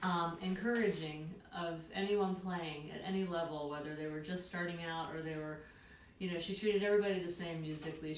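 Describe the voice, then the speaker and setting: Low-voiced woman, roomy and distant. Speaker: musician co-host. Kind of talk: casual chat between songs. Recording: roomy, distant mic with noise.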